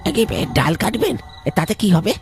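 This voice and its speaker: A man's voice: high-pitched, huge pitch swings, fairly quick, faint music behind, full character voice. A man playing an anxious villager in a cartoon horror story, talking in a dramatic, hesitant way. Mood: doubtful. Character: anxious village man urging action against evil.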